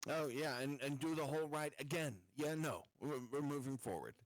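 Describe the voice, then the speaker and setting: Male game player, slightly high voice, dry sound, halting repetitive casual chat with swearing. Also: no echo. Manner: halting, repeats words, sweary.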